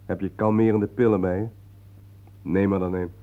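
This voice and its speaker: An actor playing a calm, practical, sceptical male friend in a radio play, talking in a matter-of-fact, conversational way. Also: deep voice, moderate pace, close to an everyday voice. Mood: calm, reasoning. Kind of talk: Dutch radio play acting. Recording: thin phone-like old radio play recording.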